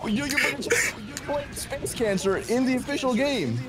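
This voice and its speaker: A man's voice: extremely high pitch, unhurried, very noisy. A man bantering casually as a speedrun co-commentator, asking questions in a joking, inquisitive way. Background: video game music.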